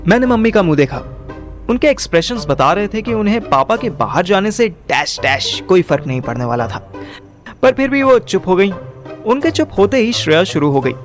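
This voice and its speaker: A man playing a wry, quick-witted young man with an Indian accent, narrating and acting in a first-person audio drama. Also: high voice, faint music behind. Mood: amused. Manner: animated, colloquial, mixes in English.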